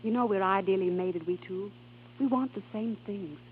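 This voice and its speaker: An actress playing a subtly scheming sweetheart, cooing tenderly and comfortingly in 1930s radio drama style. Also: female voice, medium pitch, normal speed, lightly acted. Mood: tender, coaxing. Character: soothing, subtly scheming woman courting a married man. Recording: tinny, hissy 1930s radio recording.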